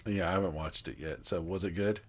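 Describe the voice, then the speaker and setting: A man's voice: deep voice, fairly even intonation, brisk. A man co-hosting a podcast in casual banter, rambling into goofy, joking asides. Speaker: podcast co-host.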